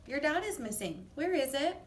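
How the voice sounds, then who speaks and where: A grown-up female storybook letter in charge of little ones, voiced by a woman. She is emphatic, teacherly and caring, pitched high with lively swings. The room sounds echoey. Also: moderate pace, recorded from a distance. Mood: urgent.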